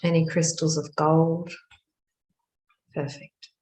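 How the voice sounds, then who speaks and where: In an echoing, roomy space, a woman leads a guided meditation. Her voice sits low and moves very slowly, in short hushed phrases separated by long pauses. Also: flat delivery.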